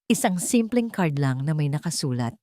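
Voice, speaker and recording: Low-pitched Filipino woman telling a dramatic story. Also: big pitch swings, moderate pace, mellow, no echo, studio quality. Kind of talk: dramatic scripted narration of a romance story.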